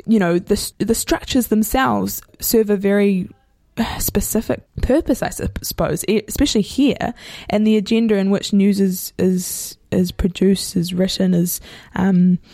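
New Zealand woman, dark gravelly voice. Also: medium pitch, a little slow, dry recording, studio quality. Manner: reflective, rambling, many restarts and hedges. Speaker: interviewer. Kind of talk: casual conversational interview.

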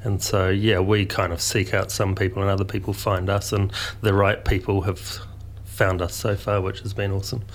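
A man's voice: low voice, level tone, normal speed. A man, a guest in a radio interview, talking in a rambling, thoughtful way with lots of restarts. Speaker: guest, food photographer and publisher.

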